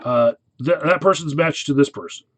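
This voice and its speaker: Higher-voiced man giving casual analytical commentary. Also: moderate pace, no room sound. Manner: conversational, frequently checks in with "right?". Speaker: video commentator.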